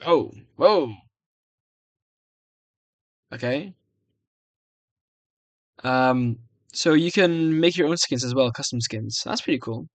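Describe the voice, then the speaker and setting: A young adult man with a medium-pitched voice talks casually at his computer in a small, dry-sounding room. He rambles and hesitates, muttering his reactions to himself as he goes. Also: lively intonation, takes it slowly, long pauses, dry recording. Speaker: video creator. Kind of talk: casual screen-recorded software try-out commentary.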